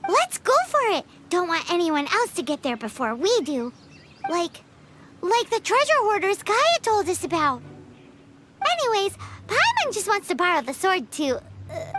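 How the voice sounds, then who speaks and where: A woman voicing a tiny, childlike female fairy companion in a video game, squeaky, excitable, greedy and chatty. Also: very noisy, heavily acted. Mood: eager, then sheepish, scheming. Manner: excitable, refers to self in third person. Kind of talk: video game character acting. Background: game music.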